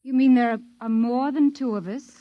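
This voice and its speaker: A woman's voice: fairly high pitch, fairly even intonation, moderate pace, no echo, close to an everyday voice. A woman playing an anxious, earnest captive in an old radio drama, speaking with urgent, questioning dismay. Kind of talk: 1950s radio drama acting. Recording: dull, dry vintage radio recording.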